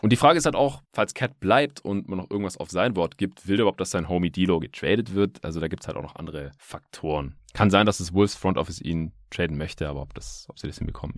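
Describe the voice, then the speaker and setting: Deep-voiced man, casual sports podcaster. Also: no echo, clean studio sound. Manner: colloquial, explanatory, peppered with English sports jargon. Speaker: basketball podcast host. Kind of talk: casual podcast talk with analysis.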